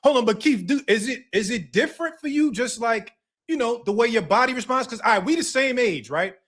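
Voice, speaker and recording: A man's voice: very high voice, expressive, brisk, a bit bright. A man in his late thirties hosting an interview, easygoing and agreeable as he asks questions. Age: 30s. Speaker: host. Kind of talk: casual interview talk.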